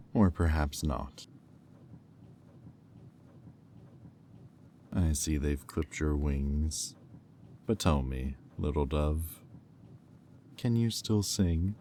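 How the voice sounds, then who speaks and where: A man playing a haughty, menacing demon in roleplay audio, speaking in a condescending, deliberate, taunting drawl. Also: rumbling low, mellow, a little hiss or hum, heavily acted. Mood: teasing, sly. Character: haughty, menacing male demon buyer, coolly cruel and mocking. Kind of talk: slow, intimate roleplay audio acting.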